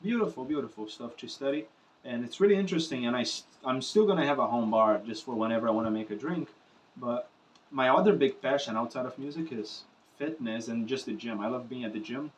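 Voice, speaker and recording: A man's voice: mid-range voice, a little fast, recorded from a distance, low-quality recording. A young Brazilian man, Portuguese his first language, speaking English unscripted to the camera in a loose, rambling way with filler phrases and swearing. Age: young adult. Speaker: musician vlogger.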